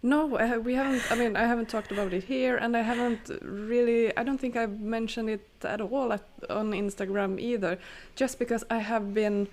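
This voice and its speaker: A woman's voice: on the high side, fairly even intonation, normal speed, few pauses, slight background noise. Hesitant woman podcast co-host. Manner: hesitant, many fillers and restarts, laughs often. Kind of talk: casual chat.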